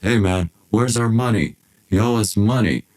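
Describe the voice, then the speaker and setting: A man's voice: moderate pace, a little mellow, lightly acted. A man voicing a menacing young thug, demanding and insistent, in a dramatized personal story. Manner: low, demanding. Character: menacing young male thug demanding his money back.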